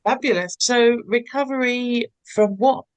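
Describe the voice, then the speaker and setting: A woman's voice: fairly high pitch, a little slow, clear, resonant tone. A woman hosting a podcast, friendly and enthusiastic as she interviews her guest. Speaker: podcast host. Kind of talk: casual interview chat.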